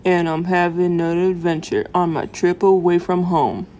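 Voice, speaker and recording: A woman's voice: on the low side, level tone, moderate pace, warm tone, a little hiss or hum, lightly acted. A woman voicing an earnest travel-loving fish in rhythmic rhyme for a children's storybook. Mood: eager. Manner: earnest, rhythmic rhyming delivery.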